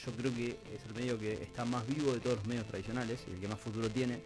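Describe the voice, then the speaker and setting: A man's voice: medium pitch, fairly even intonation, fast. Male radio discussion voice, middle register, conversational, faint music underneath.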